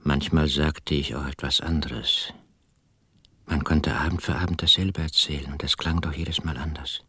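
An actor playing a brooding, uneasy country husband who narrates a radio drama in a husky, hushed, intimate voice. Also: male voice, a bass voice, fairly even intonation, moderate pace, no echo, heavily acted. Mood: brooding, ominous. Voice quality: husky, breathy, half-whispered. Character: brooding first-person male narrator, uneasy rural husband.

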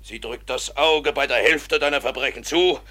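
An actor playing a pompous priest sent by the authorities, scolding and preaching in declamatory classical radio drama style. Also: male voice, medium pitch, moderate pace, bright, forceful tone, lightly acted. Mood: stern, condescending.

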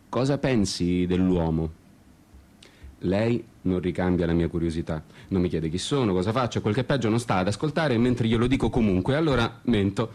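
An actor playing an ironic, lovestruck storyteller in a literary radio play, speaking in deliberate, measured phrases. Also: male voice, lowish voice, darkish tone, natural voice. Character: ironic, disenchanted male storyteller, lovestruck drinker in Prague. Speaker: actor and narrator. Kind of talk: literary radio theatre monologue with sung passages. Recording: guitar music behind the voice.

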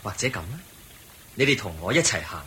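A calm, low-voiced Buddha. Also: male voice, slight background noise. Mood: kindly, guiding. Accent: Hong Kong Cantonese. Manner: measured, patient explaining. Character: the Buddha, calm kindly male wandering monk and teacher. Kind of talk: Cantonese radio drama acting.

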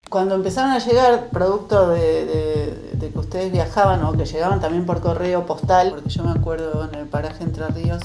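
A woman's voice: lowish voice, fairly even intonation, normal speed, hardly any pauses. A woman with an Argentine accent reminiscing conversationally as an interviewer. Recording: roomy echo. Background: loud music.

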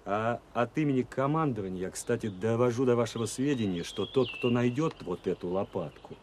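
An actor playing an earnest army officer in a radio play, giving halting, insistent instructions to soldiers. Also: male voice, medium pitch, darkish tone, a little hiss or hum, lightly acted. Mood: earnest, instructing. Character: earnest Soviet officer briefing soldiers on a search. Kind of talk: Russian radio play acting.

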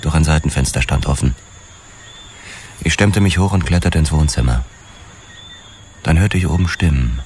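A man's voice: a bass voice, moderate pace, long pauses, a little mellow, a little hiss or hum. An actor playing a hard-boiled detective who narrates his own story in a radio drama, terse and sober. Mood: tense, watchful. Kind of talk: scripted first-person narration, radio drama.

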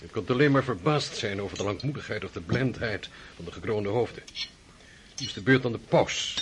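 Rich: A lowish, indignant dinner guest who declaims his views. Cutlery and dishes clatter clearly behind him in a radio play. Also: lively intonation, brisk, a little hiss or hum.

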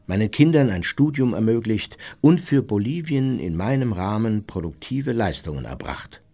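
An old nazi war criminal in a radio play, lowish voice, formal and measured, totally unrepentant. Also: male voice, warm tone. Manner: formal, measured, self-righteous. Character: elderly Nazi war criminal, self-justifying, coolly unrepentant. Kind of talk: scripted radio drama monologue.

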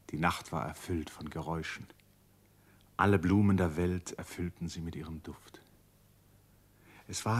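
Male narrator for radio play, very low voice, measured and serious literary delivery. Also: darkish tone, breathy edge.

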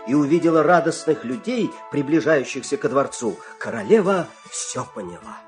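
A man narrating a fairy tale dramatically, expressive, with measured pauses. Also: fairly high pitch, soft background music.

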